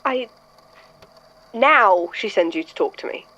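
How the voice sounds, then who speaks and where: A woman playing a wary, hesitant listener in an audio drama, halting, guarded and deliberate. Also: medium pitch, lively intonation, bright, forceful tone. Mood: flustered, guarded. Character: wary, hesitant listener questioning an odd visitor. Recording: dull lo-fi audio.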